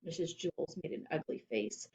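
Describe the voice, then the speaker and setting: A woman reading children's fiction aloud as expressive scripted narration. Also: low-pitched, normal speed, creaky, rough recording. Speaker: storybook reader.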